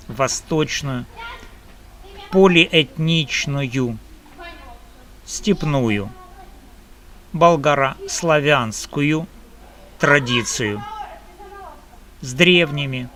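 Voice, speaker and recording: A man from Ukraine delivering a personal opinion monologue to camera, slow and emphatic in measured phrases. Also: medium pitch, pushed and bright, a little hiss or hum. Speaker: video blogger.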